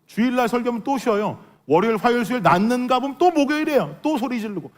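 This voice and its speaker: A middle-aged man with a hoarse, worn voice, preaching an earnest, emphatic sermon as a pastor and putting rhetorical questions to his congregation. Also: very high-pitched, animated, fast talker. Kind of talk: Sunday sermon with personal testimony.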